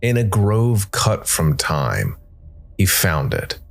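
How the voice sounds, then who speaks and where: Deep-voiced man narrating over soft music. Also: sing-song, takes it slowly, gravelly. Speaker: narrator and podcast host. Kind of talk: scripted story narration. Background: soft music bed.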